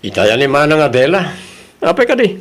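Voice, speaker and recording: An actor with an Ilocano Filipino accent playing a lazy, cheeky younger brother in an audio drama, whiny and nonchalant. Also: male voice, on the high side, sing-song, fairly quick, slight background noise. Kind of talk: colloquial audio drama acting.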